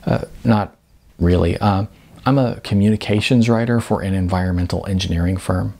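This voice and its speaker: Man reading as a shy, stammering man, gravelly. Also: low-pitched, warm tone. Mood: resigned, self-deprecating. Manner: halting, stammering. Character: shy, hesitant, awkward man, a bored writer.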